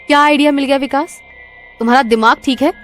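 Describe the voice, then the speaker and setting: An actress playing a worried, loyal young woman with concerned, dramatic delivery in an animated horror story. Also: sits high, quick pace, soft background music, close to an everyday voice. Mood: scolding.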